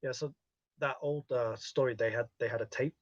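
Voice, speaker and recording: A man's voice: mid-range voice, steady tone, brisk, highs cut off. A man explaining things casually as a panelist on a talk show.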